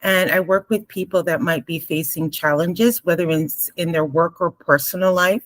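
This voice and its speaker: A woman psychotherapist introduces her practice in a composed, polished way. Her voice sits fairly low and is heard dry over a video call. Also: normal speed, dry recording. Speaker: psychotherapist guest.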